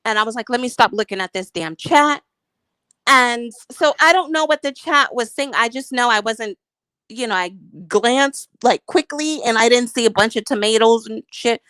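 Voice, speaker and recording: A woman's voice: fairly high pitch, moderate pace, sharp tone, clear, resonant tone, dry recording, rough recording. A married woman defending herself in a heated, profane livestream argument, emphatic and defensive. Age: adult, married. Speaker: YouTube commentator.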